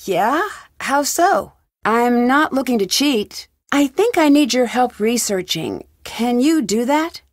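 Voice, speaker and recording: A woman's voice: a little high, animated, takes it slowly, no room sound, clean studio sound. A woman playing an inquisitive, level-headed young female detective in a video game, with an earnest, probing way of asking questions. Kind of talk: video game voice acting, isolated dialogue lines.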